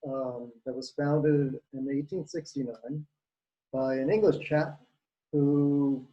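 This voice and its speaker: Earnest man asking a slow question. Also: mid-range voice, fairly even intonation, mellow, highs cut off. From Costa Rica. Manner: earnest, searching for words, drawn out. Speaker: audience member asking a question. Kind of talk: question at an online lecture. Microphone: video call.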